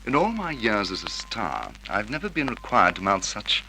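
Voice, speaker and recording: A petulant, haughty screen idol in an old radio play. His voice is lowish and very sharp, swinging widely with theatrical precision. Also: huge pitch swings, moderate pace, heavily acted, low-quality recording. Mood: aggrieved. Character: vain, petulant, aggrieved male film star. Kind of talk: 1950s radio drama acting. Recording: old radio recording, faint noise.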